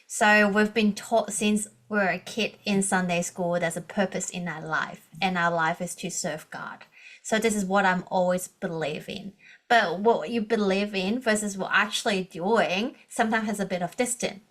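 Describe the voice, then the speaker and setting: A woman in her thirties with a Hong Kong accent and a bright, clear-edged voice, her first language Cantonese. She tells her story earnestly as a podcast guest, restarting phrases often as she searches for words. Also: mid-range voice, steady tone, normal speed. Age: 30s. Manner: earnest, with frequent restarts and non-native grammar. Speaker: guest, leadership coach. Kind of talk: podcast interview, personal story.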